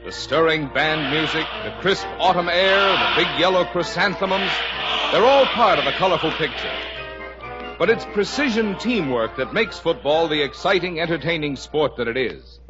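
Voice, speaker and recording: A man's voice: on the high side, lively intonation, moderate pace, over music. A man reading scripted sponsor narration as a 1950s radio announcer, with formal, emphatic phrasing. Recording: muffled 1950 radio broadcast.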